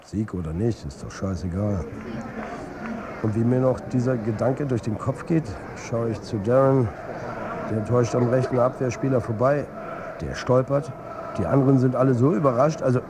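A man's voice: mid-range voice, normal speed, noisy background, natural voice. An actor playing a middle-aged, world-weary football coach who tells his own story candidly in colloquial radio drama narration. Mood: excited. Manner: confiding, colloquial. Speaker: narrator and lead character. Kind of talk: intimate first-person radio drama narration.

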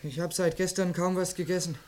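A man's voice: high-pitched, little pitch movement, fairly quick, close to an everyday voice. An actor playing a defiant, anxious young man under arrest in a radio crime drama, indignant and defensive. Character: defiant, anxious youth under arrest, not yet of age. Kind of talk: German radio play acting.

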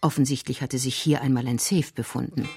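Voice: mid-range voice, steady tone, fairly quick, creaky, a little mellow, soft background music. A narrator reading the scripted prose of a crime story in a radio drama.

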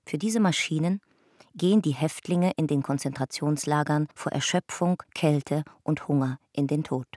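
An actress narrating a radio drama family chronicle in a detached, clipped, documentary manner. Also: female voice, deep voice, normal speed, darkish tone, dry recording, clean studio sound. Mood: detached, sober. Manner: matter-of-fact, clipped, documentary. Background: faint music.

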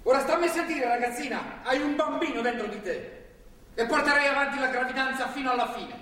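An actor playing a stern, self-righteous religious zealot in a stage drama, preachy and commanding. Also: male voice, very high-pitched, fairly quick, bright, forceful tone. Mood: stern, angry. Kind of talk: live stage drama acting. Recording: distant, echoey theatre recording with noise.

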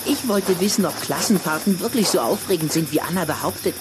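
A man's voice: high voice, a little fast, noisy background, close to an everyday voice. A voice actor playing a vain, romantic young vampire boy in a radio play, talking in a teasing, smug way.

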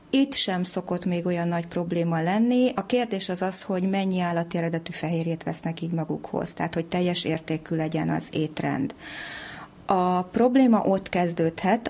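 A woman's voice: on the low side, darkish tone. A woman speaking as an academic expert, explaining things in an interview. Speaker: dietetics lecturer, expert guest.

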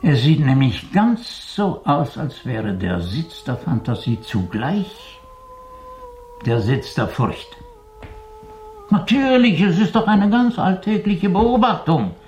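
A man's voice: a little high, sing-song, slow, a little mellow, highs cut off. An actor playing a learned man in a German radio mystery set in 1914 Vienna, musing and lecturing with emphasis. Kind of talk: German radio play acting. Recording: light background noise.

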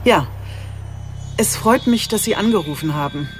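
Actress as female chief inspector, medium pitch, controlled, polite, businesslike, radio crime play, music behind. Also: expressive, normal speed, close to an everyday voice. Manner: controlled, matter-of-fact, polite. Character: composed, professional female police chief inspector. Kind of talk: radio drama acting.

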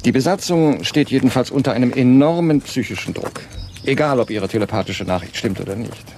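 An actor playing a genial but sceptical space programme doctor in a radio drama, with a creaky voice and an animated manner. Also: male voice, mid-range voice, a little fast, a little hiss or hum, natural voice. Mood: reflective. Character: genial, sceptical male doctor, former space project leader. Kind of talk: German radio drama acting.

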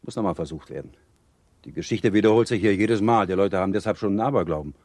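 An actor playing a self-possessed middle-aged baron in a German radio drama, speaking with authority. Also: male voice, deep voice, quick pace, darkish tone. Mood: resolute.

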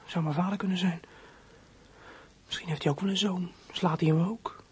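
An actor playing a sullen fourteen-year-old runaway boy in a radio play, muttering bitter thoughts to himself in a husky, breathy voice. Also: medium pitch, steady tone, normal speed. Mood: nervous, resentful. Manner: brooding inner monologue, muttering to himself.

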